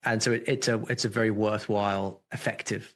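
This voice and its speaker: A man's voice: lowish voice, steady tone, brisk. A man hosting a podcast interview, thinking aloud with frequent restarts and fillers. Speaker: podcast host.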